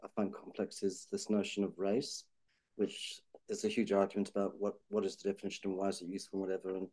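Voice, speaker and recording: A man's voice: low voice, little pitch movement, quick pace, mellow. A man with a thoughtful, hedging way of talking, full of restarts, discussing health data policy.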